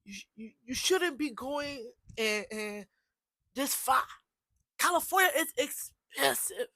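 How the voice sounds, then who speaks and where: A woman's voice: sits high, animated, slow, bright, forceful tone, dry recording, pitched above the performer's own voice, heavily acted. Young woman doing a pushy Liberian aunt. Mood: pressing. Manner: blunt, insistent questioning. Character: concerned middle-aged Liberian aunt, pushy.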